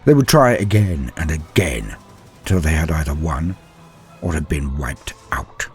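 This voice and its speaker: A man delivering dramatic scripted narration as a host, solemn, theatrical and grandiose, with a husky voice. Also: rumbling low, lively intonation, a little slow, faint music behind. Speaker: host and narrator of a lore show.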